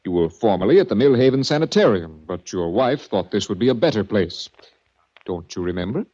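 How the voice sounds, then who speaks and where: An actor playing a smug, mock-courteous male villain running a sanitarium, with smooth menace, in a 1940s radio drama. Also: fairly low pitch, big pitch swings, brisk, mellow. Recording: muffled 1940s radio recording.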